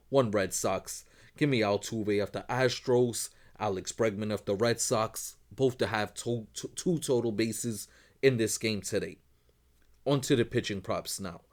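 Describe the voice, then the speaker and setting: A man who is losing his voice, running quickly through his sports betting picks as host, in a brisk set routine. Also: on the low side. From Northeast United States. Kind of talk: rapid betting picks rundown.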